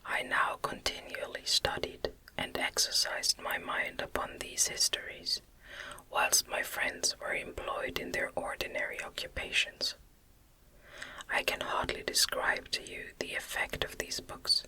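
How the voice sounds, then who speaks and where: A woman reading a gothic novel as ASMR, in a gentle, breathy, unvoiced whisper with a measured delivery. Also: low-quality recording. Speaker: audiobook narrator.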